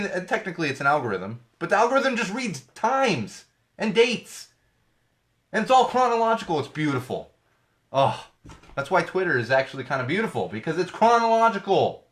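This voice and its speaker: A man's voice: on the high side, fairly bright tone. A man hosting his own podcast, chatting casually and ranting with sarcasm and swearing about tech and social media, his voice slightly off from an ear infection. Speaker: podcast host. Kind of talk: casual solo show talk.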